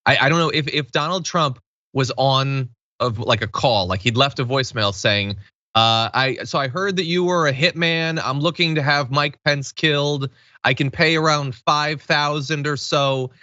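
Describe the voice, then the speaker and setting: A man with a bright, forceful voice hosts political talk. His delivery is informal and sardonic, like conversational commentary. The sound is dry and close, though slightly dull. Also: fairly high pitch, moderate pace, dry recording. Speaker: talk show host.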